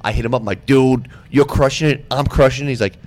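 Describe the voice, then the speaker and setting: Fast-talking podcast host, brash. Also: male voice, mid-range voice, steady tone, darkish tone. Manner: brash, swearing, storytelling. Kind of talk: casual podcast chat.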